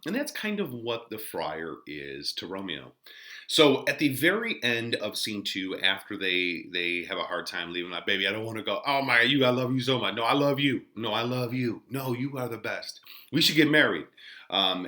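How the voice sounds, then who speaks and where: A man's voice: low-pitched, pushed and bright. A man giving an informal lesson commentary as a teacher, in a conversational, self-deprecating way.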